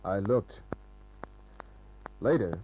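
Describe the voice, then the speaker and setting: A man's voice: fairly low pitch, very slow. A man playing a cynical, laconic private detective in a 1950s-style radio crime drama. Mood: cool, wry. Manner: laconic, deadpan, wisecracking. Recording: dull, hissy old radio recording with hum.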